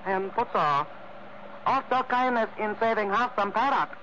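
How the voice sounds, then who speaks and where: A male radio actor playing a smiling, ceremoniously flattering Chinese police officer who speaks halting, flowery, overly formal broken English. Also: high voice, highs cut off. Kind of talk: 1940s radio acting. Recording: noisy, band-limited 1940s radio recording.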